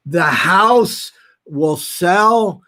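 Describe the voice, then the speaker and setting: A man of nearly sixty with a noticeably high voice for a male speaker. He talks straight to his livestream audience in a casual, unscripted way. His delivery is earnest and candid, with emphatic stress on his points. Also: expressive, drawn-out words, pushed and bright. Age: late 50s, nearly 60. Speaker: nonprofit founder hosting a livestream. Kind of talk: casual livestream talk to viewers.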